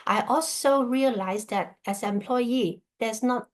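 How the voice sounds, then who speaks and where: A woman's voice: a little high, unhurried. A middle-aged woman, born in Burma and raised in Hong Kong, talking casually to an online group as a guest presenter over a video call. She often stops to ask her listeners "okay?". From born in Burma, grew up in Hong Kong. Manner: checks in with listeners by asking "okay?".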